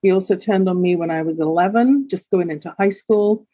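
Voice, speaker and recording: A woman's voice: fairly low pitch, a little fast, dark tone, no echo, muffled recording. An older woman with a London accent, a podcast guest telling her life story in an earnest, reflective way. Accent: London English. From England. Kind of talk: candid personal storytelling.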